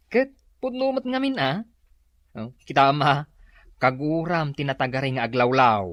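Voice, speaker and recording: A man's voice: medium pitch, animated, a little slow, fairly bright tone, dry recording, highs cut off. A man playing an older relative who teases and laughs at a reunion in a comedy radio drama. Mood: teasing. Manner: teasing, laughing.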